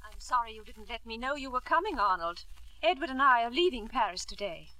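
An actress playing a proud, disillusioned middle-aged wife and mother, crisp and reproachful, in a 1950s radio drama. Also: fairly high pitch, expressive, fairly quick, pushed and bright, poor audio. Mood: cool, guarded. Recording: vintage 1950s radio broadcast.

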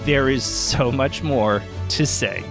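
A man's voice: mid-range voice, slow pace, creaky, music in the background. A man hosting a podcast, interviewing a guest in a polished, conversational way.

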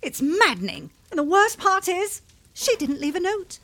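High-voiced, foul-mouthed Victorian wife. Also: female voice, very animated, moderate pace, fairly bright tone, heavily acted. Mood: aggrieved. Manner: blunt, biting, sarcastic. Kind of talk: Victorian comedy audio drama acting.

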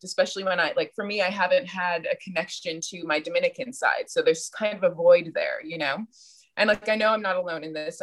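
A woman's voice: lowish voice, rough recording. A woman of thirty, a podcast guest, talking in a reflective, searching way and laughing at herself now and then. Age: 30s. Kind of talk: casual interview chat.

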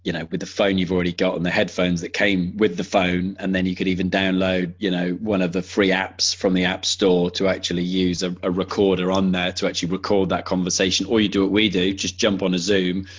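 A man's voice: deep voice, little pitch movement, fairly quick, few pauses, slightly rough, lo-fi sound. A man talking casually as a podcast guest, enthusiastic and conversational, restarting his sentences as he goes.

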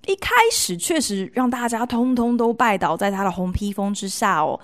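Taiwanese mandarin lady doing a chatty podcast monologue, playful and expressive, mid pitched voice. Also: normal speed. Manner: expressive, playful emphasis with colloquial sentence-ending particles. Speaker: podcast host. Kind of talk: chatty storytelling monologue to listeners.

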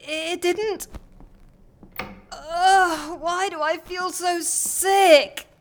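Groggy, queasy, high-voiced sufferer. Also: female voice, fairly even intonation, slight background noise, heavily acted. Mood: queasy, confused. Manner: groaning, halting speech. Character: groggy, queasy, embarrassed woman recovering from sickness.

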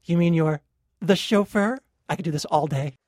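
A woman's voice: fairly low pitch, moderate pace, darkish tone, dry recording, studio quality, natural voice. A woman acting as a naive, perky teenage girl singer in a parody radio play. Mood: playful.

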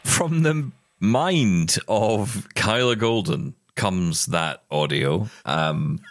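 A man's voice: lowish voice, expressive, extremely slow, no echo. A man hosting a show in casual chat, with a jokey, teasing manner. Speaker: show host.

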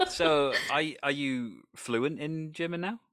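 A man's voice: fairly high pitch, unhurried, a bit bright. A man hosting a casual interview in an encouraging, jokey way. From Wales. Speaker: interview host.

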